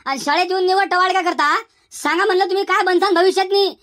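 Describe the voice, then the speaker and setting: Male actor as cartoon schoolteacher, Varhadi Marathi accent, hectoring, repetitive, high pitched-up voice, fast, dry sound. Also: fairly bright tone, no room sound. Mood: scolding, despairing. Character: exasperated, scolding male village schoolteacher. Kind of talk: cartoon comedy dialogue.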